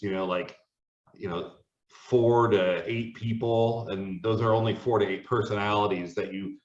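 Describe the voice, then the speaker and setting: A man hosting a casual panel discussion, talking in a conversational way. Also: fairly low pitch. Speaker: host and tabletop gamer. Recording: echoey, distant, dull microphone.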